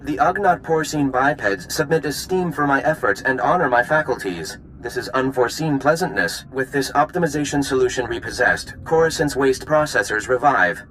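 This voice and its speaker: An actor playing a politely pedantic alien science unit in a video game, with formal, oddly stilted delivery. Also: male voice, medium pitch, animated, moderate pace, hardly any pauses, a bit bright, a little hiss or hum, natural voice, rough recording. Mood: formal, curious.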